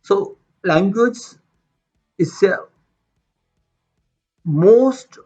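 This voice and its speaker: A man with a Tamil accent, a native Tamil speaker from India, talking about his own life in a halting way, with many restarts and repeated words. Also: sits high, animated, warm tone, soft background music. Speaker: motivational vlogger. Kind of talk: unscripted personal talk. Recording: dull lo-fi sound.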